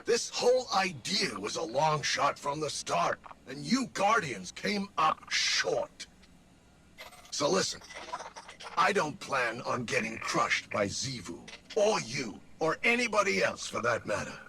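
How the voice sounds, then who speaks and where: Casting: cynical game robot sidekick, blunt and slangy, gravelly with a forceful bright edge. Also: male voice, on the high side, very animated, unhurried, slight background noise, full character voice. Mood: dismissive. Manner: blunt, slangy wise-guy delivery. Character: cynical, self-serving wisecracking male machine companion. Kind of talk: video game character acting.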